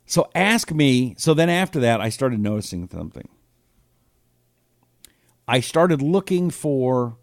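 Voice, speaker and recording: A man's voice: medium pitch, no room sound, clean studio sound. A man in his fifties with an American accent, hosting a casual live talk show in a rambling, conversational way full of rhetorical questions. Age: 50s. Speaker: host of a political talk show. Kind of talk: casual live commentary, reading listener comments.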